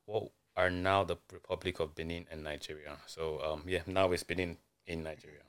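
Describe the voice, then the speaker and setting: Deep-voiced guy on a podcast, really smooth, calm and measured. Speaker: podcast guest. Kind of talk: casual chat.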